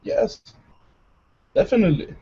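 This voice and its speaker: A man from El Salvador, interviewing a guest and often pausing to search for words. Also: warm tone. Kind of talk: interview questions. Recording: slightly dull lo-fi recording.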